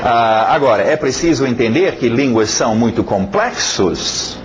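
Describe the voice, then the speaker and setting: Male language teacher, very lively intonation, informal, repetitive emphasis, background noise. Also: mid-range voice, a little slow, rough recording. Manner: animated, repeats words for emphasis, frequent check-in tags. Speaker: English teacher. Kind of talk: informal spoken lesson.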